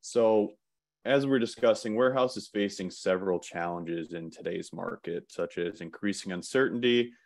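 A man presenting slides in an online webinar, relaxed, with many "um" fillers and restarts. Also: deep voice, normal speed, vocal fry, no room sound.

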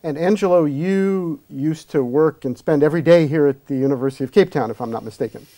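A man's voice: fairly high pitch, brisk, mellow. A middle-aged man hosting a studio interview show, inviting his guests to speak. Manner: friendly, inviting questions. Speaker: host.

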